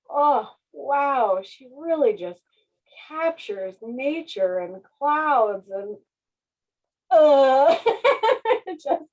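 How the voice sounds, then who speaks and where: A woman's voice: fairly high pitch, a little mellow, rough recording. A woman chatting casually as a guest author, effusive and self-deprecating. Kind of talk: casual question-and-answer chat.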